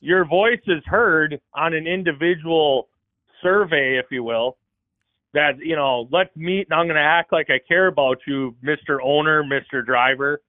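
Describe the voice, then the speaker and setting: A man's voice: on the high side, a little slow, no room sound. A man calling in to a racing talk show, laying out his argument step by step. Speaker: caller. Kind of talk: casual call-in chat. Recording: dry, tinny phone line.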